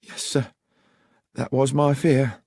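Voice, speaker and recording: A man voicing an anxious, deferential young country woman with rustic, halting speech, in an audiobook. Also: mid-range voice, level tone, gravelly, warm tone, slightly rough, dry recording, clean studio sound, voice pushed up, heavily acted. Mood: anxious, earnest. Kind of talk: audiobook character voice.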